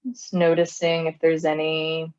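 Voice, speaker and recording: A woman guides a body scan meditation in a low, soothing voice. Her pace is very slow, with long pauses between short phrases. The recording is somewhat dull and muffled. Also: completely flat delivery, darkish tone. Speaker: meditation teacher.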